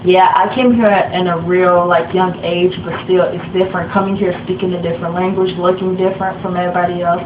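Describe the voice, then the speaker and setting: A young woman from Africa whose first language is Swahili, telling her own story in a soft, halting way with many fillers. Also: fairly low pitch, little pitch movement. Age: young adult. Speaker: interviewee. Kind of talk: personal testimony. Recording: echoey room, dull lo-fi sound, noisy background.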